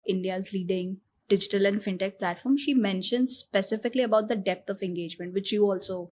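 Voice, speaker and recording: A woman of middle pitch hosts the conversation, setting up each question with context before asking it. She checks in often with a quick "right?". Her delivery is steady and conversational. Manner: framing questions with tag "right?". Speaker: interviewer. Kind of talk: interview questions.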